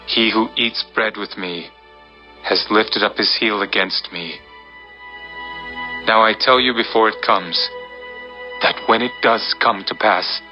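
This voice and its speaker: A solemn holy teacher with a lowish voice and a very slow, deliberate delivery. He speaks with quiet authority in an audio Bible drama. Music plays clearly behind him. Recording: dull, band-limited audio with music behind.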